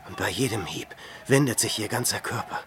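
An actor playing a tormented, self-pitying ascetic hermit in a radio drama, anguished and swinging between whispers and cries. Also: male voice, lowish voice, animated, brisk, a bit bright, slight background noise. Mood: haunted, rapt.

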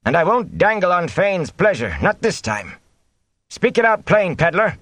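Casting: cantankerous old village man, acted by a male narrator, high-pitched, challenging, storybook fantasy. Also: very animated, normal speed, dry recording. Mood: angry, stubborn. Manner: sour, loud, challenging. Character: sour, defiant old village thatcher, quarrelsome elder.

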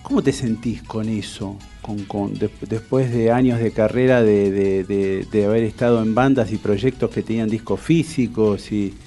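A man's voice: fairly low pitch, fairly even intonation, moderate pace, few pauses, mellow, soft background music. A man with an Argentine accent hosting a casual, conversational radio interview.

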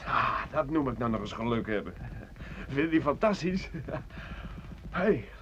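An actor playing a rough, talkative soldier turned drifter, world-weary and colloquial, in a Dutch radio play. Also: male voice, mid-range voice, animated, moderate pace, a bit bright, close to an everyday voice. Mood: excited, gossiping. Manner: colloquial, hesitant, chatty storytelling. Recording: dull, noisy old radio recording.

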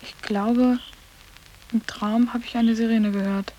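A woman's voice: a little high, fairly even intonation, takes it slowly, mellow, a little hiss or hum, rough recording. An actress playing a sarcastic, cool and probing teenage schoolgirl in a radio play, speaking in short, pointed phrases. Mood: questioning. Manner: short, pointed questions and dry remarks. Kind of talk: 1980s German radio play acting.

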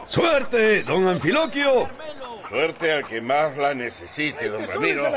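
An actor playing a courteous, unhurried gentleman making bets in a radio drama. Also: a little high, big pitch swings. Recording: off-mic amid crowd and music.

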